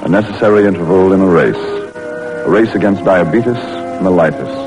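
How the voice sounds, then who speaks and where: Low-pitched male narrator, solemn vintage radio drama, over clear music, lo-fi recording. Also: moderate pace. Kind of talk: 1940s scripted radio narration.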